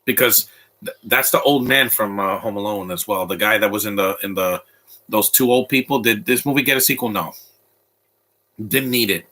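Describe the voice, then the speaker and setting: A man's voice: lowish voice, normal speed, pushed and bright. A man giving casual live commentary while watching a film at home, rambling and restarting his sentences often. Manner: rambling, stop-start with repeated restarts. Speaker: movie commentator.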